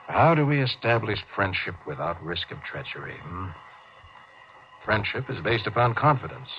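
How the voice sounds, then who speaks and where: Brooding starship captain, swinging wildly in pitch. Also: male voice, lo-fi sound. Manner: halting, musing, then barking orders. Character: tense, brooding male starship captain, blustering under strain. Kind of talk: 1950s radio drama acting. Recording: noisy, band-limited 1950s radio recording.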